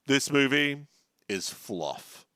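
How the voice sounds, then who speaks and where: Bright, animated man gossiping about TV. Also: medium pitch, extremely slow, no echo, studio quality. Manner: animated, campy, mimics characters. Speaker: podcast co-host. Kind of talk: casual chat recapping a TV episode.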